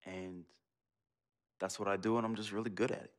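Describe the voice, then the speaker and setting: A man's voice: fairly low pitch, level tone, fairly quick, natural voice. An actor playing a streetwise young male hustler in a video game cutscene, talking in street slang and dropping "yo" often. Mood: defensive. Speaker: game character, hustling friend.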